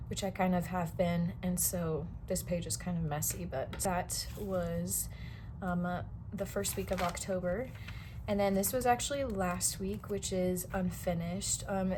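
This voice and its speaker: A young woman vlogger chatting casually about her planners, a little hoarse as she gets over a cold, rambling with lots of fillers. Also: lowish voice, dark tone, a little hiss or hum. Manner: rambling, many fillers and restarts. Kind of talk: casual chat.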